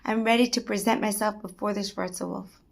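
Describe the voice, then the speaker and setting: A woman's voice: mid-range voice, fairly even intonation, brisk, creaky, natural voice. A woman voicing a distressed, childless male town rabbi who pleads anxiously. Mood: resolved.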